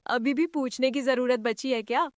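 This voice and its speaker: A woman playing an affectionate, supportive middle-aged mother-in-law in an animated story, with an expressive, motherly delivery. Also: high voice, moderate pace, dry recording, clean studio sound, close to an everyday voice. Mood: tender. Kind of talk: animated story drama acting.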